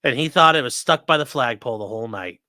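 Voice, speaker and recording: Bright, blunt, joking livestream host. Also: male voice, mid-range voice, lively intonation, quick pace, no room sound, clean studio sound. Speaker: livestream host, defense attorney. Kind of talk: casual livestream commentary.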